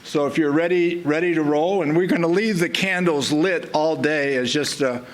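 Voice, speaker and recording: A man hosting an event, speaking informally and reflectively to the audience in a halting way. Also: fairly high pitch. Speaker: event host giving welcome remarks. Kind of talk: informal welcome speech to an audience.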